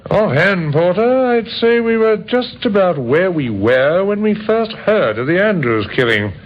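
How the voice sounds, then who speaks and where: An actor playing a courteous, thoughtful police inspector in an old radio drama, hesitant and dryly ironic. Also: male voice, high voice, very animated, a little slow. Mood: pondering. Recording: muffled, hissy old radio recording.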